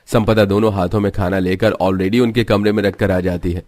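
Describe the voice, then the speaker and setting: A man's voice: sits low, steady tone, brisk, darkish tone, no echo. A man giving scripted narration for a cartoon family story as its narrator.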